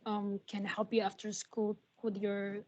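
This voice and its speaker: A young woman whose first language is Arabic, telling her story on a video call in halting English full of pauses. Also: medium pitch, monotone. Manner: halting, many ums, soft and earnest. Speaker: nursing graduate.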